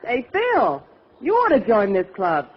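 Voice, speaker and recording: An actress playing a poised, persistent wife in a 1940s radio comedy. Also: female voice, fairly high pitch, wildly swooping pitch, unhurried, husky, full character voice. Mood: polite. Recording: dull, hissy old radio recording.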